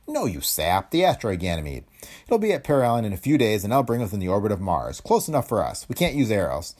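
A man voicing a gruff, soft-hearted boss in a pulp space adventure story, giving brusque, slangy orders. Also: lowish voice, huge pitch swings, fast talker. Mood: brisk, decisive.

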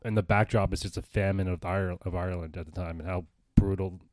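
A man's voice: sits low, steady tone, fast, darkish tone, no echo, soft background music. Casual podcast co-host, American man in his thirties, loose rambling storyteller, swears freely, dry recording. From United States. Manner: rambling, profane, laughs often. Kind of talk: casual chat.

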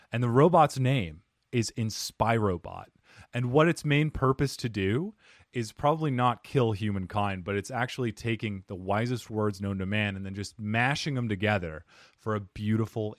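Animated man hosting a podcast. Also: lowish voice, dry recording, clean studio sound. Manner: playful, animated, self-deprecating. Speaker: podcast host. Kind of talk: casual interview chat.

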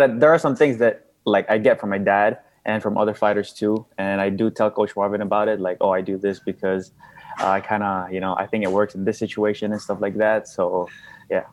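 A young man from the Philippines whose first language is Bisaya, answering interview questions in a soft, hesitant way with many fillers. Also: lowish voice, fairly even intonation, brisk, a little hiss or hum. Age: young adult. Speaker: boxing prospect guest.

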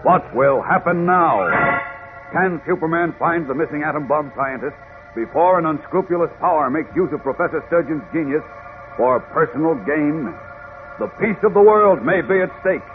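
Vintage adventure-serial announcer, male, dramatic urgency over loud music, thin tinny old recording. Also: fairly high pitch. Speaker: narrator, announcer. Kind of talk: 1940s radio serial narration, scripted.

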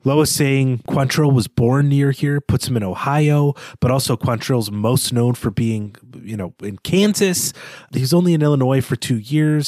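A man's voice: medium pitch, a little mellow, no room sound. A man co-hosting a podcast, talking through a literary analysis in a thoughtful, hesitant, conversational way. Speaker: podcast co-host.

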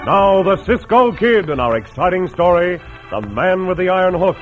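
A man's voice: sits high, sing-song, normal speed. Vintage American male announcer, dramatic western narration, muffled old radio sound over music. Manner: declamatory.

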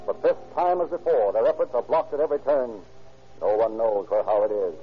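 A man giving measured, dramatic scripted narration for a vintage radio crime drama. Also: mid-range voice. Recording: dull old radio recording with music.

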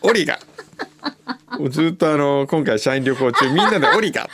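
A man's voice: mid-range voice, expressive, fairly quick, heavy background noise. A man chatting casually as the host of a radio show.

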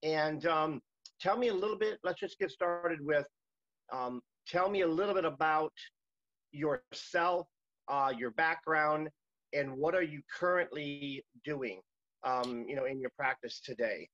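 A man's voice: a little high, a little slow, a bit bright, dry recording, dull audio. Man hosting his podcast, dry sound. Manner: hesitant, many ums and uhs when unscripted. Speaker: podcast host.

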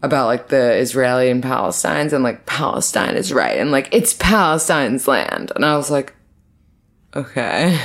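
A woman's voice: rumbling low, expressive, normal speed. A young woman co-hosting a casual podcast chat, telling dating stories with lots of "like" and acted-out quotes. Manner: chatty, lots of "like", acts out quotes.

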